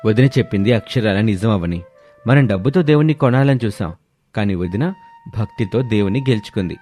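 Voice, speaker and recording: A man's voice: fairly low pitch, lively intonation, fairly quick, mellow, soft background music. A man voicing an honest, fair-minded husband in a family story, speaking earnestly. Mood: earnest, admitting fault. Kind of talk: scripted story dialogue.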